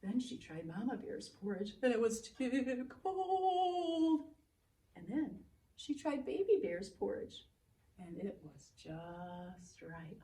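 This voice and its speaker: A woman's voice: a little high, a little mellow, recorded from a distance, slight background noise. A woman preschool teacher telling a children's story with exaggerated expression, speaking directly to young listeners. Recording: roomy, away from microphone.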